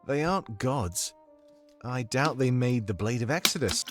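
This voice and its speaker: Casting: male actor as principled royal confidant, fantasy drama. Medium pitch, measured, wary, given to searching questions. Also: lively intonation, loud music behind the voice, lightly acted. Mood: brooding. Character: thoughtful male fantasy ally, wary, principled adviser to the monarch. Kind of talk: fantasy audio drama acting.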